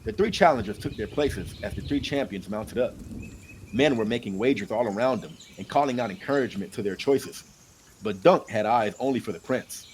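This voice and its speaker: A man narrating an audiobook in an expressive, amateur storytelling style. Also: mid-range voice, fairly quick, slight background noise, poor audio.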